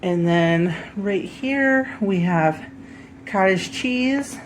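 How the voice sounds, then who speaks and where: Stuffed-up woman homesteader for a laid-back grocery haul vlog; slow, rambling, self-correcting, light room noise. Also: mid-range voice, animated, poor audio. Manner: chatty, with many ums and self-corrections. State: stuffed up from a head cold. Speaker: homesteading vlogger.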